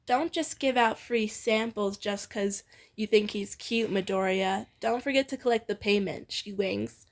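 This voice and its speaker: A woman's voice: medium pitch, measured pace. A woman co-narrating fan fiction in an informal, playful storytelling read. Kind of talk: expressive fan fiction reading.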